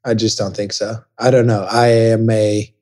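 A man's voice: fairly low pitch, moderate pace. Rambling young man from Texas. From Dallas, Texas. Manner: rambling, animated storytelling with acted-out quotes. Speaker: podcast guest. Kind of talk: casual chat.

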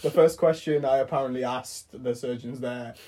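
A man's voice: medium pitch, steady tone, moderate pace, dark tone. A young man chatting casually with friends, laid-back and hesitant, laughing often. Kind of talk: casual chat among friends.

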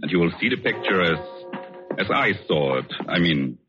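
An actor playing a vengeful, obsessed painter feigning tenderness, in measured, hesitant 1940s radio suspense style. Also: male voice, deep voice, animated. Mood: controlled, courteous. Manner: measured, theatrical, hesitant pauses. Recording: dull old radio recording, music behind.

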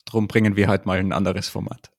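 A man's voice: low-pitched, little pitch movement, brisk, darkish tone. A man from Austria with an Austrian accent, co-hosting a podcast in a wry, self-deprecating way. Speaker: podcast co-host. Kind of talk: casual podcast chat.

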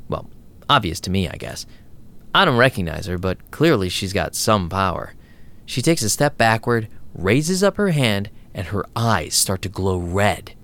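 A man's voice: on the low side, very animated, a little slow, a bit bright, heavily acted. A man playing a wry, streetwise vampire DJ, narrating an audio drama in a sardonic, casual way.

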